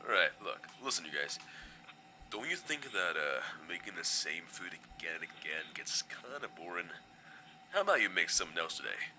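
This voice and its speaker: A man in a fan audio drama playing a gentle, weary, laid-back male skeleton, speaking in a hesitant, casual, understated way. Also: sits low, very animated, moderate pace, noisy background. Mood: dry, bemused.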